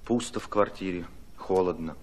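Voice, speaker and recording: A man's voice: deep voice, moderate pace, lightly acted. An actor playing an army commissar who narrates his war memories reflectively in a radio drama. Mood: wistful, musing. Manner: reflective, storytelling.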